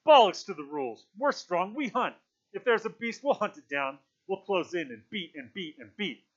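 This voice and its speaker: A man playing a loud, contemptuous boy hunter. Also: a little high, sing-song, brisk, highs cut off, voice pushed up. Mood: wild defiance. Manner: bitter, mocking, shouting. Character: aggressive, contemptuous boy hunter challenging the leader.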